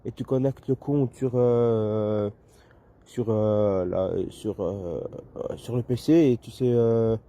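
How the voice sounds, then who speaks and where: A man with a Belgian French accent explaining technical things casually, in a halting, hesitant way. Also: fairly low pitch, dark tone. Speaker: amateur two-way radio user.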